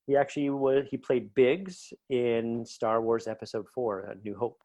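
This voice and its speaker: A man's voice: mid-range voice, fairly even intonation, normal speed, mellow. A man speaking casually as an interview guest, explaining things in a rambling, enthusiastic way. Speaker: podcast guest, writer.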